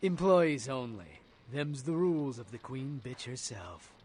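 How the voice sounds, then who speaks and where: An actor playing a sly, streetwise blood bank clerk in a video game, with a sardonic, insinuating and theatrical delivery. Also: male voice, mid-range voice, sing-song, unhurried, darkish tone, slight background noise. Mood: sardonic, reluctant. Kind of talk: video game character acting.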